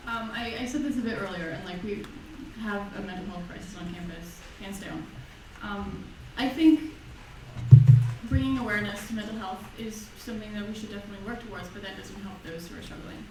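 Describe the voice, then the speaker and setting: A woman's voice: medium pitch, fairly quick, noisy background. A young woman, a student election candidate, speaking earnestly with frequent ums. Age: young adult. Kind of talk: debate answer. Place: university lecture hall. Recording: echoey lecture hall, distant mic.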